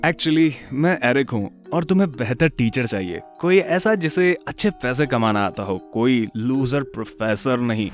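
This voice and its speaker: A man playing a confident, laid-back teenage boy in an animated story, speaking in a cool, self-assured way. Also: mid-range voice, normal speed, few pauses, faint music behind, close to an everyday voice. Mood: cocky. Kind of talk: animated dialogue in Hindi.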